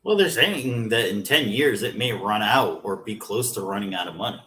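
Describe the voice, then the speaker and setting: A man co-hosting a podcast, calmly walking through his views on spending and health insurance in a casual discussion. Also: lowish voice, normal speed. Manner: explains at length, methodical. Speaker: podcast co-host.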